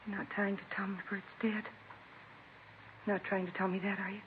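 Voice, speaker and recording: An actress playing an anxious married church organist in a 1950s radio drama, speaking in a hurried, pleading way. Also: female voice, medium pitch, flat delivery, natural voice. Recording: narrow, hissy old radio recording.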